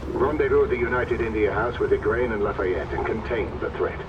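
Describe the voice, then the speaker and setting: An actor playing a brisk knight commander over radio in a video game, formal, clipped and authoritative. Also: male voice, fairly low pitch. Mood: commanding. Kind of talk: video game cinematic acting. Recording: muffled noisy distant radio link.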